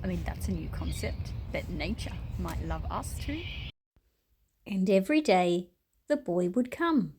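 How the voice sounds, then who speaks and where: A woman's voice: on the low side, measured pace, a little hiss or hum. A woman reading a children's picture book aloud as host, expressively, with short explanatory asides. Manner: expressive, storytelling. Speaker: storybook reader and host.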